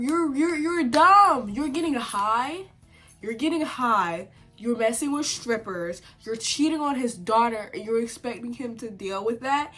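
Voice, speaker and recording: A young woman vlogger with a congested cold, chatting at home in a sit-down video and giving opinionated takes full of "like" and sudden animated outbursts. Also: on the high side. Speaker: vlogger reviewing a TV series. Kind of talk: casual sit-down chat to camera.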